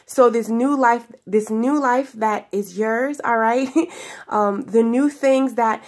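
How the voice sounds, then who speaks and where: A woman's voice: a little high, a little mellow. A young woman with a stuffy voice from sinus trouble, giving a spiritual daily message to her viewers in a giggly, affectionate way, drawing out her words. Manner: affectionate, giggly, drawn-out phrases. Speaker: spiritual guidance vlogger. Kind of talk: casual spiritual talk to viewers. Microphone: camera in front of her.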